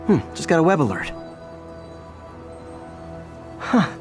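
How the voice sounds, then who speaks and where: A man's voice: on the high side, sing-song, slow pace, music in the background. An actor playing an earnest, awkward young superhero in a video game, hesitant and chatty as he mutters to himself. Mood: excited, muttering.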